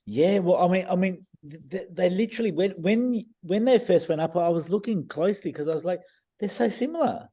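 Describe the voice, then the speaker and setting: A man on a video call explaining eye readings in a casual, enthusiastic way, his voice a bit croaky and full of restarts. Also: sits high, lively intonation, brisk, mellow. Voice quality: hesitant, many restarts and fillers. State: croaky voice, clearing throat. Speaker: iridologist guest reading eyes.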